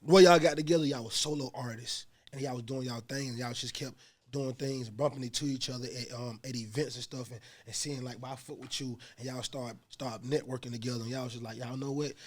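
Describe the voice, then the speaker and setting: A man's voice: mid-range voice, fairly quick. A man hosting a casual podcast interview, talking animatedly in profane street slang and asking after his guest's story. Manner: animated, profane street slang, frequent "you know what I'm saying". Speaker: podcast host.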